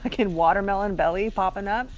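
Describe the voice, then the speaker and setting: A woman with a fairly deep voice for a woman, chatting and telling stories over gameplay and laughing often. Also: quick pace, highs cut off. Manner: rambling storyteller, laughs often. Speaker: co-host. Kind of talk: casual chat over gameplay.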